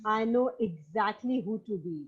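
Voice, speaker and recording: A woman's voice: mid-range voice, lively intonation, normal speed, husky. A woman sharing her thoughts in a casual poetry discussion, over slightly dull online call audio. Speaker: reading group participant.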